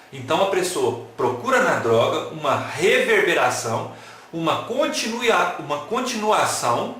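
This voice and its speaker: A man's voice: a little high, a little hiss or hum. A man giving a playful lecture, asking his listeners questions and joking with them. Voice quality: animated, playful, asks the audience questions. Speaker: lecturer at an online talk. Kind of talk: lively lecture with jokes and rhetorical questions. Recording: very echoey room.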